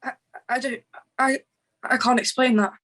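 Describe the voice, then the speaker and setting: A woman's voice: sits high, steady tone, normal speed, a bit bright. A woman playing a defiant, cornered suspect in a crime drama table read, speaking insistently. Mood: shaken.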